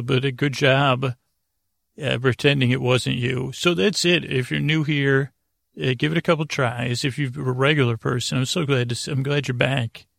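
A man hosting a bedtime podcast, meandering and halting as he rambles, often trailing off mid-thought. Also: medium pitch, gravelly, a bit bright, dry recording, clean studio sound. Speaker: podcast host.

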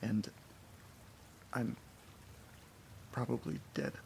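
An actor in a horror audio drama playing a weary, desperate man who records himself at home, muttering in halting, wry, sweary monologue. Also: mellow, a little hiss or hum. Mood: somber, resigned.

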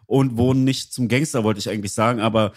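A man's voice: mid-range voice, steady tone, quick pace. A man in a casual chat, speaking hesitantly and restarting his sentences.

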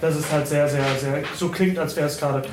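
A man's voice: fairly high pitch, steady tone, fairly quick. A man asking long, informal questions at a panel, repeating words as he builds them. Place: indoor convention hall, live event. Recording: echoey, noisy room, far from mic.

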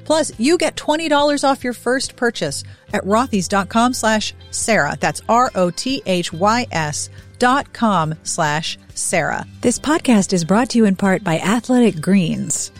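A woman reads as a podcast host over faint music. Her pitch sits in the middle of the usual range for women. The delivery is scripted yet chatty, with emphatic phrasing. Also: lively intonation, a little slow. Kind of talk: scripted podcast intro and host-read ads.

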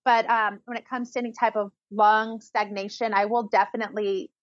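A woman's voice: mid-range voice, flat delivery, brisk, dry recording, dull audio. A woman talking casually and eagerly, rambling with frequent restarts. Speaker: herbalist guest. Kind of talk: casual conversation.

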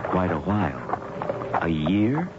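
A man's voice: low voice, sing-song, takes it slowly, exaggerated voice. An actor playing an earnest young male doctor in a 1970s radio drama, courteous and a little hesitant. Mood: concerned, courteous. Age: young adult. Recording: dull, very noisy 1970s radio broadcast.

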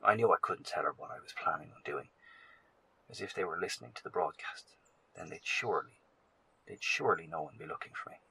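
An actor playing a weary, anxious professor in a horror audio drama, speaking gravely and hesitantly in a recorded broadcast to survivors. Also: male voice, deep voice, animated, brisk, bright, forceful tone, heavily acted, poor audio. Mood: confiding, uneasy.

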